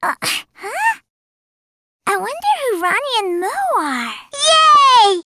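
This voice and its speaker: A woman's voice: very high voice, big pitch swings, takes it slowly, pushed and bright, dry recording, studio quality, heavily acted. A woman voicing a cheerful, plucky cartoon toddler girl for a video game, talking in exclamatory baby talk with mangled words. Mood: excited, gleeful.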